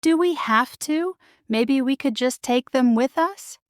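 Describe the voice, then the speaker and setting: A woman's voice: sits high, unhurried, no echo, studio quality, voice pushed up. A woman voicing a spirited, eager young princess in a children's storybook, bold, informal and exclamatory. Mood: reluctant. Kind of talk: children's storybook character reading.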